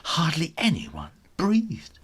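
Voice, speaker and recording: A man reading a children's story in an animated, theatrical style, with dramatic pauses. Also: a little high, slightly rough.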